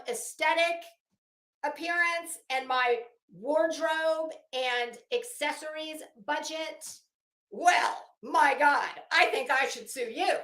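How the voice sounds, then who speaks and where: Slow-talking, sarcastic woman in an echoey room. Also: sits high, fairly bright tone. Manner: sarcastic, taunting, theatrical emphasis. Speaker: YouTube commentary host. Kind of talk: unscripted opinionated commentary rant.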